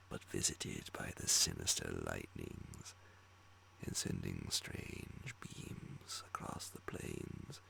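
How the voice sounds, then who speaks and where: A man reading a horror story as an ASMR bedtime narration, in a breathy, hushed whisper with deliberate phrasing. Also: very deep voice. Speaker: audiobook reader of horror stories.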